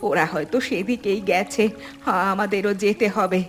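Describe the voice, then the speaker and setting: A woman's voice: mid-range voice, moderate pace, faint music behind, natural voice. A woman playing a practical, determined village mother in a cartoon, speaking firmly and urgently. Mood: firm, urging. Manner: firm, urging. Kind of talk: cartoon dialogue.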